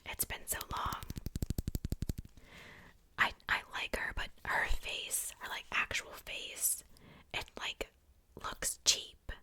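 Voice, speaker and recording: Young female ASMR creator, fully whispered and breathy, sharp bright sibilance, casual and unhurried.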